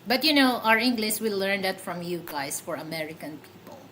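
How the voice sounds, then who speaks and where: A woman's voice: mid-range voice, expressive, brisk, fairly bright tone, a little hiss or hum. A woman with a Filipino accent hosting a casual livestream, chatty and full of fillers and giggles. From Philippines. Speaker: livestream host.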